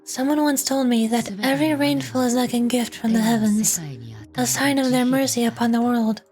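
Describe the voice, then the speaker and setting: A woman performs a solemn wanderer from a fantasy game. The voice is somewhat high, contemplative and poetic. Faint music plays underneath. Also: fairly even intonation, normal speed, a little mellow, close to an everyday voice. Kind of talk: scripted game voice acting.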